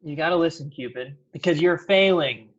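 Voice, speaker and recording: A man plays a stern office efficiency expert around thirty in a comedy read. His voice is husky and dry as he scolds bluntly, and the sound is dull and lo-fi. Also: a little high, normal speed, natural voice. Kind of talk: comedy script table read.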